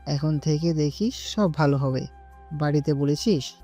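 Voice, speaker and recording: A man's voice: a little high, unhurried, mellow, soft background music. A man playing a blunt, joking and supportive young friend in an audio story, recorded slightly muffled. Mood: pleased.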